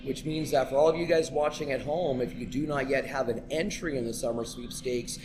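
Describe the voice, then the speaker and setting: A man's voice: medium pitch, fairly even intonation, fairly quick, music in the background. A man from Canada co-hosting a casual sports chat in a pub, with a scratchy throat and an enthusiastic, chatty, promotional way of talking. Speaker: co-host and karaoke host.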